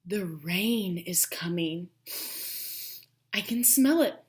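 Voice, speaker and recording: A hushed, eager little porcupine from a children's storybook, acted out by a woman. She speaks in a breathy, secretive whisper and takes her time over each phrase. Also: lowish voice, expressive, takes it slowly. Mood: hushed anticipation. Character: hushed, eager female porcupine sensing rain.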